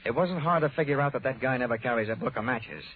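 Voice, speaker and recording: An actor playing a wisecracking, tough American insurance investigator in a 1940s radio detective drama, speaking brisk and wry. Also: male voice, medium pitch, a little hiss or hum, close to an everyday voice. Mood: tough, sardonic. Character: wisecracking hard-boiled insurance investigator man. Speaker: lead actor and narrator. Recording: tinny 1940s radio recording, music.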